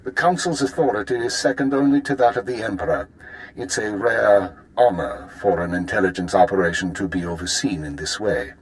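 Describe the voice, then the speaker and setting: Stern imperial spymaster guy acting, lowish voice, formal and clipped, giving orders. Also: brisk, natural voice, poor audio. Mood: stern, grave. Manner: clipped, authoritative briefing. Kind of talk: video game dialogue.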